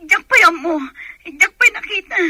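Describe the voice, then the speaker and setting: A woman's voice: very high-pitched, moderate pace, bright, forceful tone, no echo, heavily acted. A woman with an Ilocano accent playing a fretful, anxious police officer's wife in a radio drama. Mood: urgent. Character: anxious wife of a shot police officer, older sister-in-law.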